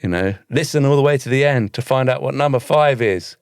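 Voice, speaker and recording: A man's voice: medium pitch, a little fast, clear, resonant tone, dry recording, clean studio sound. A man from Britain with a British accent, co-hosting a podcast in casual comedic banter with a wry, teasing manner. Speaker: podcast co-host.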